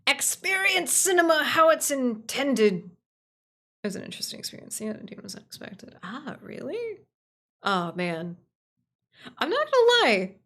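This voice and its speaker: A woman's voice: a little high, fairly bright tone. A woman chatting casually on a livestream, answering viewers in a gushing way and repeating phrases for emphasis. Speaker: livestream host.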